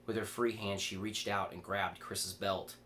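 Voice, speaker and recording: Male horror reader, lower-pitched, some stumbles over words, faint noise behind the voice. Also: little pitch movement, a little slow, fairly bright tone. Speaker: author reading own fiction aloud. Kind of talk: horror story reading. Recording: clear background noise.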